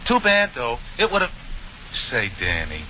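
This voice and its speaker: An actor playing a crook's excitable, scheming lawyer, chatty and hedging, in 1940s radio acting style. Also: male voice, mid-range voice, a little slow, exaggerated voice. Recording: dull, lightly noisy vintage radio broadcast.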